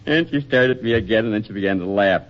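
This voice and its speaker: An actor playing an affectionate, teasing, excitable husband in a 1940s radio comedy, with a folksy manner. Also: male voice, fairly low pitch, fast, close to an everyday voice. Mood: animated storytelling. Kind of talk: 1940s radio drama acting. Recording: dull vintage radio drama recording.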